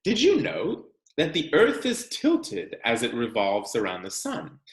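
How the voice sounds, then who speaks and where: A man with a mid-pitched voice reads a children's science book aloud like a teacher. His intonation moves up and down in a lively way as he explains things directly to young listeners. The sound is roomy, with noticeable echo. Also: unhurried. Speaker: teacher reading aloud to students.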